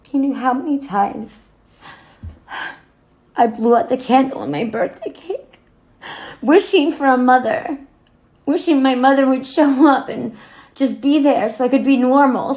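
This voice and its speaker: A woman acting a wounded, bitter grown daughter in a dramatic monologue, speaking haltingly and emotionally with a gravelly voice. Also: lowish voice, darkish tone. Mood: bitter, hurt. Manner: halting, emotional, with wry laughs and swearing. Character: bitter, wounded grown daughter addressing her dead mother. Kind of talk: dramatic monologue cold read.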